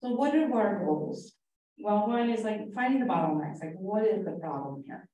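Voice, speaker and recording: A woman speaker at a conference with a booming, animated way of talking. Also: normal speed. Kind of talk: conference presentation. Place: conference room. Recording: echoey hall from far off.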